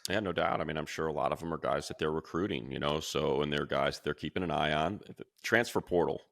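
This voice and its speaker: Middle-aged male announcer type: deep, bursts into animated, excitable analysis. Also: fast, creaky, no room sound, clean studio sound. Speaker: radio play-by-play announcer and co-host. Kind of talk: casual sports chat.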